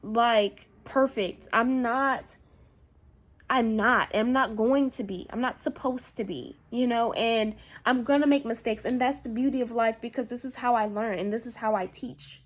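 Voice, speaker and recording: Woman vlogger, slightly high pitch, rambling and candid, keeps checking in with the viewer. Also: measured pace. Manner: rambling, repeats herself, frequent "you know what I'm saying"; candid, casual swearing. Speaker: spiritual vlogger. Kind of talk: casual spiritual monologue to camera.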